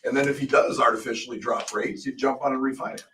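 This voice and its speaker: A man's voice: mid-range voice, steady tone, fast talker, sharp tone, far from the mic, a little hiss or hum. A middle-aged American man co-hosting a talk show, explaining things casually, often posing questions and answering them himself. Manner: explains with hypothetical examples and self-answered questions. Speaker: radio show co-host.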